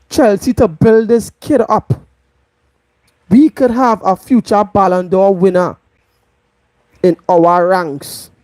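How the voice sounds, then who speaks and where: Trinidadian woman, football vlog host, unhurried and emphatic, dry close sound. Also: medium pitch, dark tone, dry recording. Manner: emphatic, repeats points for stress. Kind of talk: live football match review.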